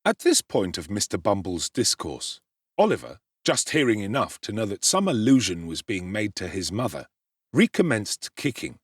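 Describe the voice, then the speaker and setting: A man narrating a classic novel as a dramatic audiobook performance, in an expressive, theatrical way. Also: on the low side, husky, dry recording, clean studio sound.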